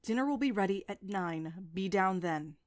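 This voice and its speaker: A woman's voice: medium pitch, expressive, normal speed, natural voice. A woman voicing a stern, distrustful mother who scolds, in an audiobook drama. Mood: curt. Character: stern, distrustful, scornful mother of a teenage boy. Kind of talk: audiobook character acting.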